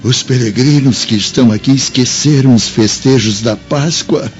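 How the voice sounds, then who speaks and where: A man plays a devout old man in a radio drama, mid-pitched and full of dismay. The sound is dull, with faint music underneath. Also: normal speed, lightly acted. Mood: dismayed indignation. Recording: dull lo-fi recording.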